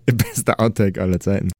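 A man's voice: lowish voice, darkish tone, husky, no room sound, clean studio sound. A man co-hosting a podcast in casual, jokey banter, slipping English phrases into his talk. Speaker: podcast co-host.

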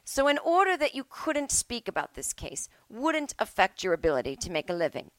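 A stern, probing judge, put on by a woman. The voice sits higher than usual and leans into each question slowly. It is close and dry. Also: sing-song, fairly bright tone, no room sound. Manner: raised pitch, slow pointed questioning. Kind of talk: comedic dramatic reading.

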